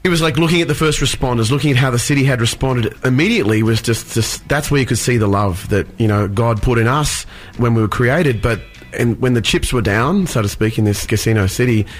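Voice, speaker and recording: Earnest Australian man, halting. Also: medium pitch. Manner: earnest, halting with repeated words. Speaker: singer and guest. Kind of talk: radio interview chat.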